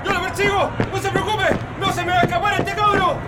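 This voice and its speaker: An actor playing a zealous Chilean policeman in a radio drama, shouting frantically. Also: very high voice, level tone, quick pace. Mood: frantic, aggressive. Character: zealous Chilean police officer giving chase. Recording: distant shouts amid crowd and music.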